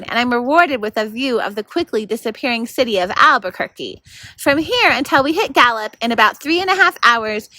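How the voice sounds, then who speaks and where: A woman reading a children's novel aloud as an expressive, animated storyteller. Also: high voice, no echo. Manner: animated, acts out the narration. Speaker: volunteer reader of a children's novel.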